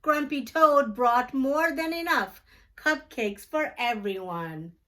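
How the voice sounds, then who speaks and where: Slow, lively high-voiced woman reading to children. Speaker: children's storytime reader. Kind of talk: slow expressive read-aloud of a picture book for children.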